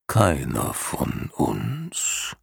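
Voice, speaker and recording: A man voicing an ancient, huge, weary female turtle in an audiobook, with a gurgling, breathy, wheezing voice and a listless, drawn-out, dismissive delivery. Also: low-pitched, wildly swooping pitch, no room sound, clean studio sound, heavily acted. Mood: weary, indifferent.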